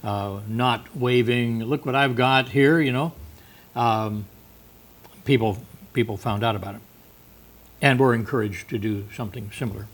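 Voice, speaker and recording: Male Bible teacher, mid-pitched voice, loose unscripted delivery with frequent "uh"s, reading verses aloud between explanations. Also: unhurried, pauses often. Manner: unscripted, many fillers and restarts, reads verses aloud.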